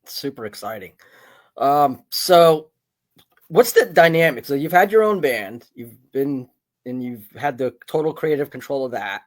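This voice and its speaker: A man's voice: medium pitch, expressive, unhurried. A man hosting a casual interview, feeling his way through his questions and rephrasing as he goes. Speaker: interviewer, podcast host.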